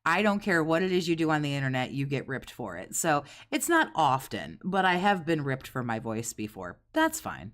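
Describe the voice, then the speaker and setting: Brief: low-voiced woman streamer, sarcastic and profane, mocking commentary on a desk mic. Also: sing-song, normal speed, hardly any pauses, a bit bright. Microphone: desk USB condenser mic with pop filter.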